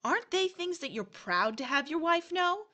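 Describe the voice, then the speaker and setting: An actress playing a cool young agent of the afterlife, patronizing and mock-soothing, in an audio horror drama. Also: female voice, very high voice, sing-song, normal speed, sharp tone, full character voice. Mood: taunting.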